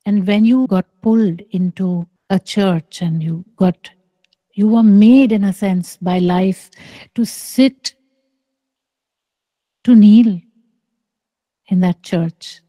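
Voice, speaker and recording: Slow, soft-spoken woman teacher. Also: medium pitch, dry recording. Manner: slow, soft and deliberate, with long pauses. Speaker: spiritual teacher. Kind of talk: slow contemplative spiritual teaching. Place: small room indoors. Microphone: close microphone on a video call.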